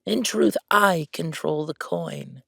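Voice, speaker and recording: A high, theatrical voice for a smug, calculating count in an audio drama. The delivery swoops widely and takes its time, savoring each taunt with grand self-assurance. The recording is close and dry. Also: male voice, huge pitch swings, takes it slowly, creaky, pushed and bright, no echo, heavily acted. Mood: proudly assured. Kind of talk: villain audio roleplay.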